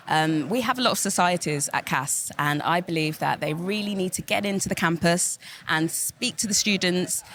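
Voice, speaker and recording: Young woman, student, low-ish voice, hesitant with ums, faint room noise. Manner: hesitant, many ums, soft-spoken. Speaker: business school student. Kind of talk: podcast interview.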